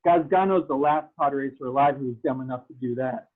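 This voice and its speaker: A man's voice: mid-range voice, brisk, natural voice. A man playing a cocky, scornful alien pod racer in a table read over a video call, dismissive and taunting. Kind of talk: fan fiction table read. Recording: thin phone-like video call audio.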